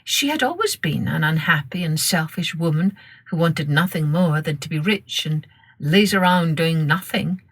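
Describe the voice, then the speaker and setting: A woman narrating a scripted children's story in a measured, expressive storytelling manner. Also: low voice, breathy edge. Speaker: storytelling podcast host.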